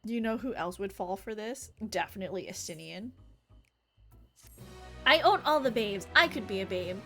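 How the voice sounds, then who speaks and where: A woman's voice: mid-range voice, lively intonation, moderate pace, fairly bright tone, soft background music. A woman live-streaming a game, chatting casually in a playful, rambling manner. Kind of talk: casual livestream chat, reading game dialogue aloud.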